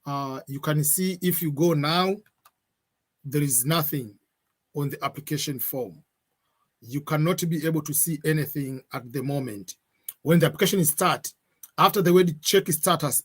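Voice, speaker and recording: A middle-aged man answers viewers' questions on a livestream, speaking at a slightly raised pitch. He hesitates often, fills pauses with "uh," and moves between English and Swahili. He sounds like he is at a webcam in a room at home. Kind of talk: casual live Q&A.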